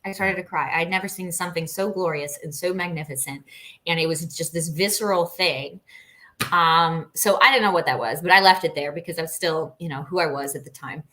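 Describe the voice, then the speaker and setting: Bright-voiced woman, rather low pitch. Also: brisk. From Costa Rica. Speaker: interview guest. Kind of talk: casual conversational storytelling.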